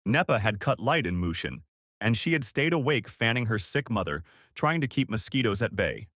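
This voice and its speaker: A man's voice: lowish voice, sing-song, moderate pace, fairly bright tone, dry recording, clean studio sound. A man narrating a scripted dramatic story as a storyteller.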